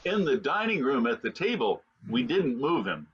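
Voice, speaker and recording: A man's voice: mid-range voice, very animated, moderate pace, fairly bright tone, slightly rough, full character voice. A man playing a hearty, folksy dinner guest in old-time radio style, exaggerated, on a dull, slightly noisy recording. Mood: reassuring. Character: hearty, exclaiming male dinner party guest.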